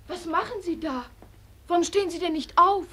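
A lively, kind young woman in a radio drama. Her voice is high. She coaxes and teases with playful insistence. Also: normal speed. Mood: startled, concerned. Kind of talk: German radio play acting.

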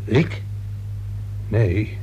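A man's voice: very slow, warm tone, a little hiss or hum, rough recording. A man acting a nervous, chatty working-class fellow in a Dutch radio play, hesitant, rambling and colloquial. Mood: uneasy, evasive.

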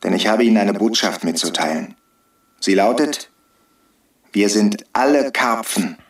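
Defiant hostage-taker, roomy and distant. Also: male voice, on the low side, a little slow. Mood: solemn preaching. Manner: declamatory, taunting, needling. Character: defiant drug-free hostage-taker, bitter, taunting, preaching agitator. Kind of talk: radio drama acting.

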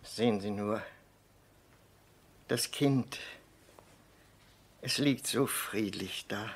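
An actor playing a distraught servant of a noble household in a radio play. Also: male voice, fairly low pitch, drawn-out words, full character voice. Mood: sorrowful. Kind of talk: German radio play acting.

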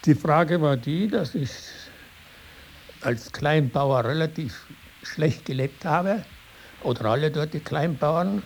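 An older man with a Tyrolean Austrian accent, recalling his life in spontaneous, halting, rambling talk. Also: fairly high pitch, animated, gravelly. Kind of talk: spontaneous oral reminiscence. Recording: hissy archival interview tape.

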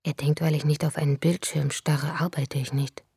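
A tired writer lady in a radio play, low husky voice, almost whispering, very dry close mic. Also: flat delivery, moderate pace, dry recording, heavily acted. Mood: hushed. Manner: hushed, near-whispered inner monologue. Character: weary, searching woman writer turned inward. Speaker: main character and narrator of a radio drama. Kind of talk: radio drama with inner monologue.

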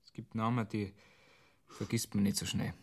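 A man's voice: on the low side, flat delivery, normal speed, slightly rough. A bitter Bavarian police inspector in a radio drama. He speaks tersely and under his breath, with dry sarcasm and a sullen temper. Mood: bitter muttering.

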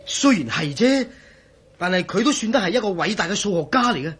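An excitable hong kong cantonese buddy in a radio play, swooping highish voice, sceptical, argumentative. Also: male voice, brisk, breathy edge, heavily acted. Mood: indignant. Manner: animated, exclaiming, argumentative. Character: excitable, sceptical male friend of the adventurer. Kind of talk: Cantonese radio drama acting.

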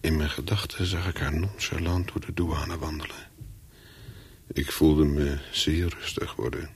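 A man's voice: very deep voice, fairly even intonation, a little slow, breathy edge, heavily acted. An actor in a radio play as a nervous, guarded man on the run, speaking tersely and narrating his own story. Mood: brooding narration. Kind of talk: radio play acting with first-person narration.

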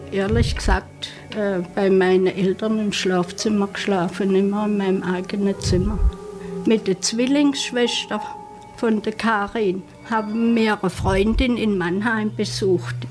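A woman's voice: mid-range voice, fairly even intonation, measured pace. Woman recalling events over music. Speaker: interviewed witness. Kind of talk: spoken recollection in an interview.

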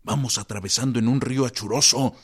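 An actor playing a frank police chief, firm and urging, in a historical radio drama. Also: male voice, mid-range voice, quick pace, slightly rough, close to an everyday voice. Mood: urgent, warning. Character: frank police chief advising a troubled president.

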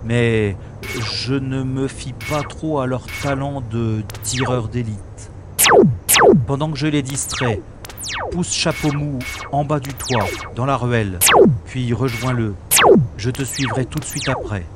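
Guy playing a wry sci-fi secret agent narrating his own adventure, theatrical radio play, normal pitch, loud music behind. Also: takes it slowly, reverberant, close to an everyday voice. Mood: tense, focused. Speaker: lead actor and narrator of an audio drama.

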